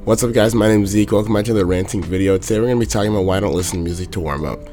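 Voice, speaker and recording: Young man with a raspy, sore-throated voice. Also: fairly low pitch, very fast, soft background music. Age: young adult. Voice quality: monotone, raspy. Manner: talks in loose runs with fillers. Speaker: vlogger. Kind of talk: casual ranting vlog.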